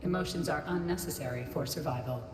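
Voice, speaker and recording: Low, echoing female computer system acted by a woman. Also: fast talker, soft background music, natural voice. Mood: impassive. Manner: formal, clipped, procedural announcements. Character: cold, emotionless female computer system guarding its data. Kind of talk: scripted video game voice acting. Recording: echoey reverberant hall, loud background noise.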